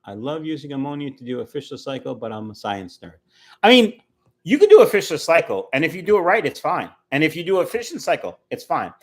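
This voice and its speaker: A man's voice: fairly high pitch, very animated, fast talker. A man in his early fifties, casually chatting on a livestream, answering viewer comments in a rambling, talkative way. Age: 50s. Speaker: fishkeeping livestream host reading chat. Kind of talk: casual live chat.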